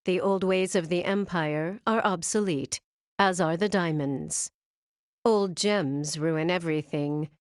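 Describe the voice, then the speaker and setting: A female gem villain for a cartoon game, cold and superior. She speaks slowly in a slightly low voice, with clinical, condescending precision. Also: no echo, clean studio sound. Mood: cold, certain. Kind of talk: animated game cutscene acting.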